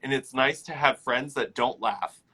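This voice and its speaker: A man's voice: medium pitch, moderate pace, sharp tone, husky. A man talking reflectively but firmly in a casual conversation, leaning on "like" as a filler. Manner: reflective, lots of "like" fillers, firm.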